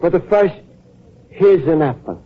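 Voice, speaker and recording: An actor playing a naive, earnest, lovestruck Italian immigrant in an old-time radio comedy, speaking accented broken English. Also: male voice, on the high side, lively intonation, a little slow. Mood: shy, adoring. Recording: muffled old radio broadcast recording.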